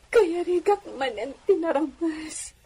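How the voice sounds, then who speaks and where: A woman's voice: very high-pitched, lively intonation, takes it slowly, mellow, a little hiss or hum, full character voice. A woman playing a long-suffering village wife, lamenting plaintively in a melodramatic Ilocano radio drama.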